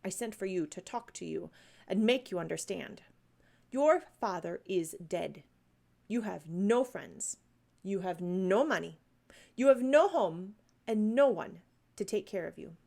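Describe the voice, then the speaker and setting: A woman's voice: medium pitch, lively intonation, a little slow, creaky, darkish tone. A woman voicing a cold, stern headmistress who speaks sharply to a child. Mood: severe. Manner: stern, cutting.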